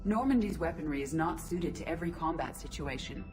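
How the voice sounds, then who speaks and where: An actress voicing a calm female artificial intelligence in a robot body, speaking in a precise, measured, emotionless way, with game music behind. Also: low-pitched. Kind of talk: video game voice acting.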